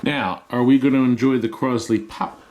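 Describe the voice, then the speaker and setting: A man hosting a casual home-visit vlog, chatting in an animated way and asking lots of curious questions. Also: medium pitch, moderate pace, a little hiss or hum. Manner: animated, inquisitive. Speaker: host filming a visit. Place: inside a home.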